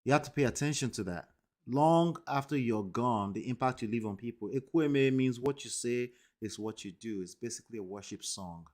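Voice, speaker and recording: A man's voice: mid-range voice, moderate pace, a little mellow. A man hosting a live stream, talking emphatically to his viewers and often checking in with "okay?" and "right?". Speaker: livestream host. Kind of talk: casual commentary to a live chat.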